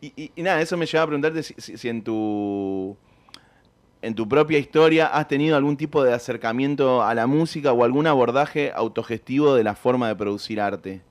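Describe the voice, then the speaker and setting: A man in a mid-range voice conducting a casual interview. He asks his questions softly and keeps his responses to short affirmations while the guest speaks. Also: medium pitch, fairly quick. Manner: soft-spoken, brief affirmations.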